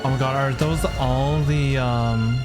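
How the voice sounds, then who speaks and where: A man's voice: mid-range voice, steady tone, a little slow. A male streamer giving casual, exclamatory, sweary live reactions to a game. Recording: loud game music behind voice. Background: game soundtrack.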